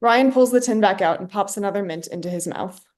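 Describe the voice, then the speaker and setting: Woman reading stage directions, medium pitch. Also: a little fast, a little mellow. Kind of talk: scripted narration. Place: remote online staged reading.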